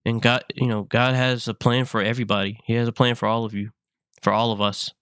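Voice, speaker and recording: A man in his twenties, sick, talking in a halting, earnest way, often repeating himself in a personal vlog. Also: mid-range voice, flat delivery, fairly bright tone, smooth, no room sound, clean studio sound. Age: 20s. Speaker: vlogger.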